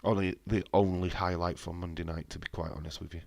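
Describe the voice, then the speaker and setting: A man's voice: low-pitched, level tone, fast, creaky, a little mellow, dry recording. A man with a head cold, chatting casually and bluntly on a live stream, swearing freely and answering viewers' messages. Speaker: wrestling watch-along streamer.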